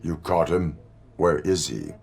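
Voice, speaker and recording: An actor playing a stoic cyborg policeman in a video game, gravelly and formal, with clipped, flat questions. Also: unhurried, heavily acted. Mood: stoic, businesslike. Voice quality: gravelly, very deep. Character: stoic cyborg policeman, part man, part machine.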